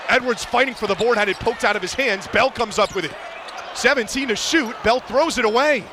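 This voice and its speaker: A man calling live basketball play-by-play in clipped, vivid running description, following the action moment by moment. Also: high-pitched. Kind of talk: live sports commentary. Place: courtside in a large indoor arena. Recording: loud arena crowd noise behind voice. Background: crowd and bouncing basketball.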